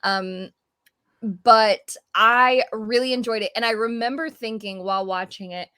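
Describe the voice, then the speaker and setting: A woman's voice: fairly high pitch, measured pace, sharp tone, clear, resonant tone, no room sound, studio quality. A woman giving her chatty, enthusiastic take as a panelist in a casual podcast discussion.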